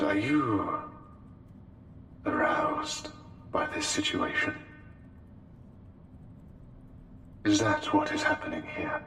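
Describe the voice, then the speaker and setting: Over music and in long, echoing reverb comes a courtly, menacing plague doctor. The voice is low, with formal, haughty, deliberate phrasing broken by pauses, as intimate ASMR roleplay. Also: male voice, noisy background, exaggerated voice. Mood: taken aback.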